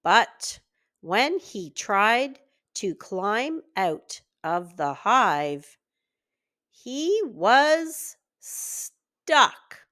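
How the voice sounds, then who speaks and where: A woman reading a children's story aloud in deliberate, word-by-word phrases, as a reading teacher does for early readers. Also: medium pitch, big pitch swings, bright, forceful tone.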